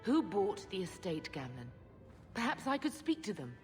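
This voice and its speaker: An actress as a plaintive, expressive noble mother. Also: medium pitch, moderate pace, in a large hall, soft background music, close to an everyday voice. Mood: plaintive, proud. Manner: earnest, dignified, plaintive. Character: proud, wistful middle-aged noblewoman, mother of grown children. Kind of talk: video game voice acting.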